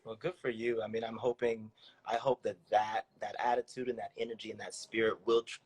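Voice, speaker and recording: A man's voice: on the low side, level tone, a little fast, darkish tone, slightly rough. A man hosting a casual interview, supportive, with frequent short affirmations. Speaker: interviewer, host.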